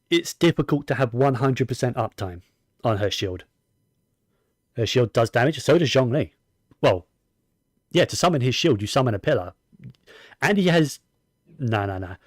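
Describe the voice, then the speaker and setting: A man's voice: mid-range voice, dry recording, studio quality. A man giving casual gameplay commentary, rambling and opinionated, often talking to himself as he plays. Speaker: gaming streamer.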